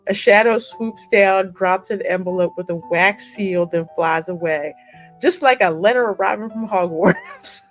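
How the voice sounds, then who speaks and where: A woman's voice: medium pitch, unhurried, soft background music, low-quality recording. A woman doing game playthrough commentary, switching between reading story text aloud and playful, colloquial chat. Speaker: gaming video co-host reading game dialogue aloud.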